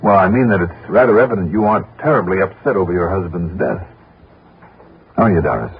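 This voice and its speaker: An actor in a 1960s radio drama playing a calm, wry insurance investigator with a polite, probing manner. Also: male voice, low voice, brisk, husky, exaggerated voice. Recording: muffled, noisy 1960s radio recording.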